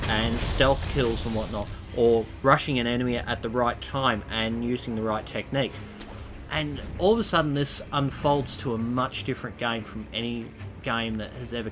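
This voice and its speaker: A man's voice: medium pitch, fairly bright tone, a little hiss or hum. A man getting over a cold, sounding slightly nasal, gives a rambling, enthusiastic spoken game review. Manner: rambling and enthusiastic, with loose asides.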